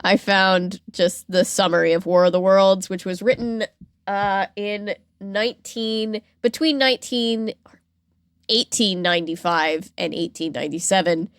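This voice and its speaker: A woman's voice: medium pitch, fairly even intonation, unhurried, smooth, no room sound, studio quality. A woman hosting a podcast, chatting casually and informally about pop culture history with wry, jokey asides. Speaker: podcast host.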